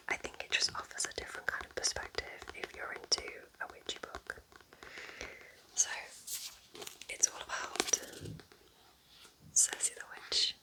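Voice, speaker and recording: A woman whispering gently and unhurriedly in a breathy, chatty ASMR style as she recommends books. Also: low-quality recording. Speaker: ASMR video creator playing a kind witch.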